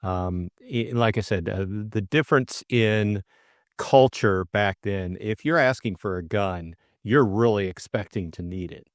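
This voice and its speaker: A man's voice: fairly low pitch, a little slow, dry recording, clean studio sound. A middle-aged man co-hosting an audiobook, narrating and chatting informally about the book in a hesitant way. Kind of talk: narration and casual book discussion.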